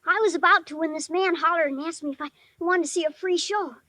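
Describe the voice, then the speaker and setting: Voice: very high-pitched, fast, sharp tone, no room sound. A performer playing a ten-year-old boy in a radio drama, bashful and earnest, with hesitant, ungrammatical street-kid talk. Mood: wistful. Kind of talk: 1950s radio drama acting.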